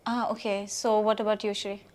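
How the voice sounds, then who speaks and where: A woman with an Indian accent hosting a podcast interview, asking friendly questions in a halting way with lots of fillers. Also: medium pitch, flat delivery. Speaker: podcast host interviewing teenagers. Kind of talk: casual interview chat. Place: indoors at a cultural centre.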